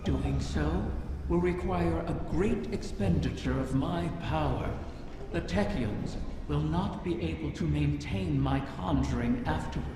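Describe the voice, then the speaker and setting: A woman's voice: deep voice, background noise. A woman voicing an ancient, sly and regal female dragon in a video game, with grandiose delivery and otherworldly monster processing. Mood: wistful, solemn. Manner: slow, grandiose, theatrical. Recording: cavernous long reverb, music behind.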